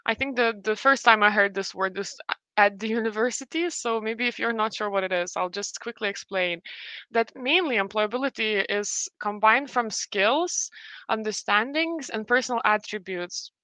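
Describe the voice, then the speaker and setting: A woman's voice: mid-range voice, normal speed, bright, forceful tone, no echo. A woman in her late twenties from Lithuania, speaking English with a Lithuanian accent, giving a friendly university webinar presentation with many fillers and restarts. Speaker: university representative.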